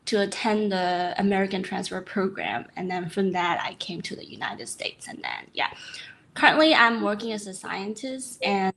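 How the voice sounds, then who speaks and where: A young woman from Myanmar speaks as a guest in an online interview. Her voice sits at a middle pitch. She talks hesitantly, filling pauses with ums and uhs. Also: a bit bright. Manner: hesitant, many fillers. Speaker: guest scientist. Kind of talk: webinar interview.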